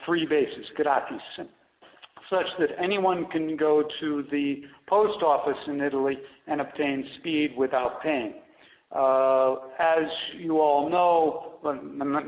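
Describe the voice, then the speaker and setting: A man speaking as a company executive on a conference call, explaining things off the cuff in a halting way with repeated and restarted phrases, over a phone line. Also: fairly high pitch. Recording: narrow tinny phone line, roomy echo.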